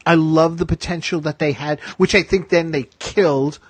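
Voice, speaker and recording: A man hosting a podcast in casual chat, enthusiastic, halting and circling back over his points. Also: fairly high pitch, fairly even intonation, husky, no room sound. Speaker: podcast host.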